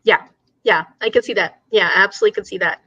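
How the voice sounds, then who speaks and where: A woman's voice: mid-range voice, quick pace, bright, forceful tone, husky, no echo, highs cut off. A woman hosting a casual book discussion in an engaged, agreeable manner.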